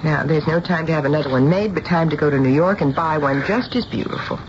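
An actress playing a gentle, anxious middle-aged mother in a radio drama, measured and a little hesitant. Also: sits low, noisy background, natural voice. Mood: gentle, worried. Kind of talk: radio drama acting with first-person narration. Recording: dull radio recording with music behind.

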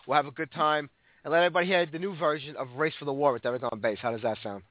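Sick hoarse radio guy talking super fast, kinda high voice, tinny phone-ish sound. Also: steady tone, dry recording. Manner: fast, eager, joking. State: hoarse from illness. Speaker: radio show host. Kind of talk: casual radio interview chat.

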